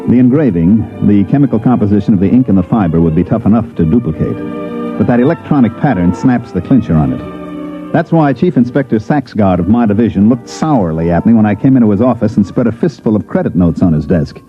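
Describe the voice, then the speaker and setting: A man's voice: fairly low pitch, expressive, a little fast, close to an everyday voice. An actor playing a wry, weary government investigator who narrates his case in hard-boiled 1950s radio drama style. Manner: wry, dry wisecracking. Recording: muffled old radio recording, music behind.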